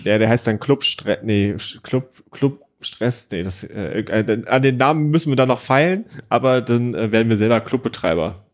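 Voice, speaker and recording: A man's voice: mid-range voice, expressive, normal speed, hardly any pauses. A young man co-hosting a podcast, chatting casually and giving his opinions freely, with fillers. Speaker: podcast co-host.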